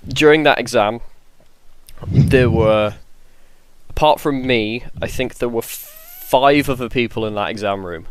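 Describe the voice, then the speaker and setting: A man with a clear, smooth voice talks in an earnest, reflective way on a casual podcast. The sound is close and dry, with little room to it. His pitch sits at an ordinary middle level for a man. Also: a little slow, no echo. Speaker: podcast panelist.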